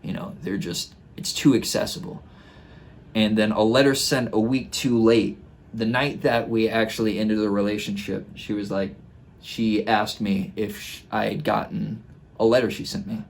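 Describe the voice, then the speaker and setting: A man in his twenties talking casually and reflectively, explaining his work in a halting way, recorded in a small home room. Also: fairly low pitch, fairly even intonation, gravelly, slight background noise. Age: 20s. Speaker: musician and host breaking down own song. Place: small home studio bedroom indoors.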